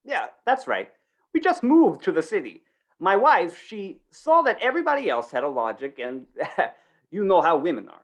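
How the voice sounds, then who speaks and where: A man's voice: high-pitched, huge pitch swings, normal speed, heavily acted. A man playing a meek, hesitant, apologetic father and small shopkeeper in an old-time radio comedy, polite and flustered.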